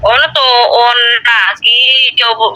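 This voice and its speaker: A speaker with an eastern Bengali rural dialect chatting casually in a halting, repetitive, colloquial way. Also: medium pitch, level tone, bright, forceful tone, poor audio. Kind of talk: casual phone chat.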